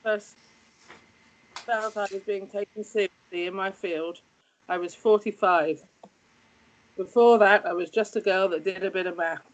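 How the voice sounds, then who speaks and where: A woman's voice: medium pitch, steady tone, a little slow, long pauses, muffled recording, lightly acted. A woman acting a wry, loving, no-nonsense middle-aged scientist mother in a screenplay table read, teasing and emphatic. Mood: wry, advising.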